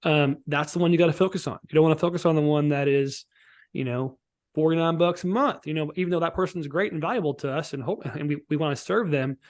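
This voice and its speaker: A man giving an informal, instructional software walkthrough as a sales trainer on a live call, often checking that listeners follow. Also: fairly high pitch, quick pace. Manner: informal, instructional, checks for understanding with tags like "right?" and "okay?". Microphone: online group call.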